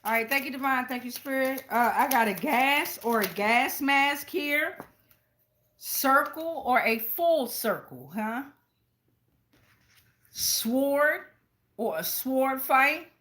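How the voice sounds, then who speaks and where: A woman's voice: sits high, animated, takes it slowly, fairly bright tone, slight background noise. An American woman giving a casual live tarot reading in a slangy, profane, rambling way. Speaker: tarot reader, channel host.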